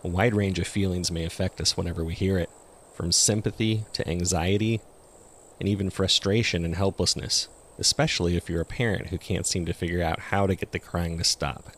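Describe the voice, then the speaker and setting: A man's voice: sits low, steady tone, fairly quick, slight background noise. A man hosting and narrating a podcast, reading from a script.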